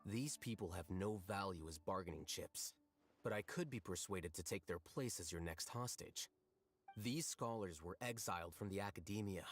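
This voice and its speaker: A calm, confident scholar and scribe in a video game. His voice is very low and steady. He argues his case with cool composure. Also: normal speed. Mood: composed. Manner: composed, persuasive.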